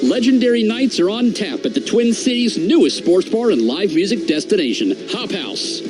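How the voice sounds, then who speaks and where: A man's voice: high-pitched, very animated, normal speed, rough recording. A man reading a scripted radio commercial over guitar music. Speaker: commercial announcer.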